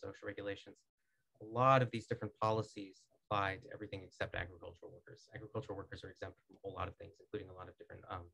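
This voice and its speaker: A man in his thirties from the United States, a podcast guest speaking in a measured, articulate way with frequent "um"s, recorded on a studio boom mic in a small foam-lined studio. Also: vocal fry, a bit bright, low-quality recording. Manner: measured, articulate, many "um"s and restarts. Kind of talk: casual interview talk.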